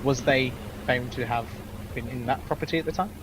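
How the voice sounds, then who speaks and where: A man's voice: mid-range voice, brisk, lightly acted. A man playing a polite, methodical lawyer questioning a witness in an online courtroom roleplay. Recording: clearly noisy game voice chat.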